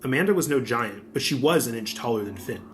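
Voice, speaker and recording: A man's voice: medium pitch, animated, fairly quick, background noise. A man narrating a scripted adventure audiobook as the storyteller.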